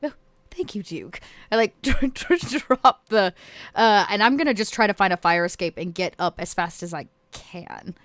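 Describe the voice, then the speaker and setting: A woman's voice: mid-range voice, expressive, normal speed, a bit bright, dry recording. A woman talking as a player in a tabletop roleplaying game, casually and sometimes in character.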